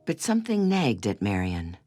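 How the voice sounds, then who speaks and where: A documentary narrator delivers scripted narration with a lively, rising and falling intonation. Clear music plays underneath the whole read. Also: mid-range voice, moderate pace.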